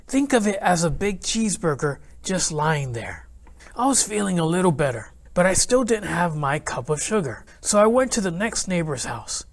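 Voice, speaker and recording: A man reading a children's picture book aloud in the first person as the wolf, chatty and playfully aggrieved. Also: on the high side. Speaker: children's read-aloud host narrating as the wolf. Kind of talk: expressive storybook reading.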